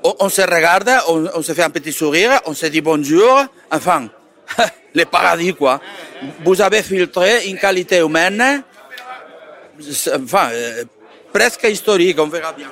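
A man's voice: on the high side, expressive, unhurried, fairly bright tone. Man with a Valencian Spanish accent. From Valencia, Spain. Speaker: festival attendee.

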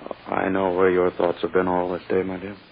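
An actor playing a smug, courting prosecutor with an unctuous, self-important, drawn-out manner in 1940s radio style. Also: male voice, sits low, monotone, gravelly, slightly rough, exaggerated voice. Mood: smug, insinuating. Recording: muffled, hissy old radio recording.

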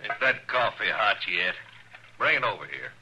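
An actor playing a cold, menacing frontier outlaw leader in 1950s radio drama style. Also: male voice, sing-song, normal speed, over music. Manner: low, menacing.

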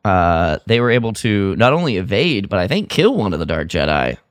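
A man co-hosting a podcast, chatting casually and retelling a story in an animated way. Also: low-pitched, a little fast, no room sound, studio quality. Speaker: podcast co-host. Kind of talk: casual review chat about an audio drama.

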